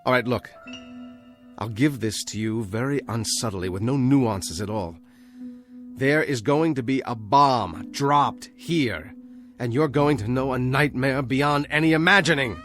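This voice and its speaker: A man's voice: medium pitch, unhurried, a bit bright, close to an everyday voice. A man playing an earnest, intense American with a clipped, guarded way of speaking and an American accent, in vintage television drama style. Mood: pleading, grave. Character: earnest, urgent American man carrying a grave warning. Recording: old TV soundtrack with music. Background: dramatic music.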